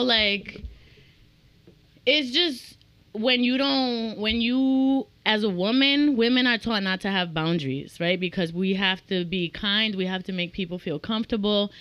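A woman in her thirties from the Dominican Republic, a realtor talking openly in a casual podcast chat, animated and laughing often. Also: medium pitch, smooth, dry recording. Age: 30s. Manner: animated, laughing, talks in quick bursts. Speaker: realtor guest.

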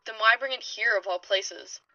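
Cool formal lady researcher from the 20s, piercingly crisp, skeptical, audio drama, slightly dull tape. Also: on the high side, moderate pace, bright, forceful tone, dry recording. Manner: crisp, polite but skeptical. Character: cool, formal 1920s female researcher taking statements.

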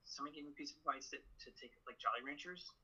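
A man chats on a podcast in a bright, husky voice with an earnest, measured way of telling his stories. The sound is a little dull and lo-fi. His pitch sits in a middling range. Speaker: guest, a father. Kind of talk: casual podcast chat.

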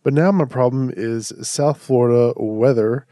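A man's voice: medium pitch, a little slow, dark tone, no room sound, studio quality. A man hosting a casual horror movie review podcast, sounding a little nasal and stuffed up from allergies, chatty and rambling with restarts. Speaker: podcast host.

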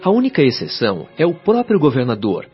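A Brazilian actor playing a patient, earnest male spirit guide in a radio drama, explaining in formal, measured, articulate phrases. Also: on the high side, wildly swooping pitch. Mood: earnest, emphatic. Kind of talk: radio drama acting, didactic dialogue. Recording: dull lo-fi radio drama, music behind. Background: music.

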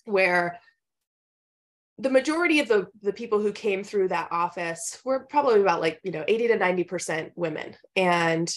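A woman's voice: mid-range voice, lively intonation, normal speed. A woman in her thirties, a nutritionist, telling her story in a casual podcast interview, chatty and full of "like" and "um", laughing now and then. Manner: chatty, many fillers like "like" and "um", laughs often.

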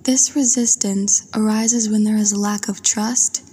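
A woman narrating a guided meditation in a soft, breathy voice, with a soothing, gentle and unhurried manner. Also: medium pitch, fairly even intonation. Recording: long washy reverb.

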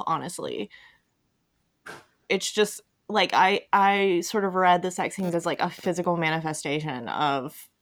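A woman hosting a casual podcast chat, speaking in an enthusiastic, emphatic and informal way. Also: lowish voice. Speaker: podcast host.

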